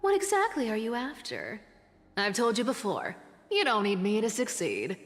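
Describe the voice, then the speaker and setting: An actress playing a sly, guarded trickster woman in a video game, teasing yet wounded, often dropping into hushed asides. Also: a little high, wildly swooping pitch, normal speed, big-room sound, faint music behind. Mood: teasing, defiant.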